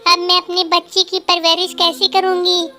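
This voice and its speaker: Very high-pitched, plaintive little female bird voiced by a woman. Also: completely flat delivery, normal speed, sharp tone, faint music behind. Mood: distressed pleading. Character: long-suffering, pleading young female bird, wife and mother. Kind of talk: Hindi children's cartoon dialogue.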